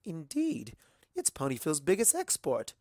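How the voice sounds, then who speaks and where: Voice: mid-range voice, wildly swooping pitch, normal speed, dark tone, no room sound, clean studio sound, voice pushed up. A performer voicing a refined, poised female pony socialite with a genteel, composed delivery. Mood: matter-of-fact.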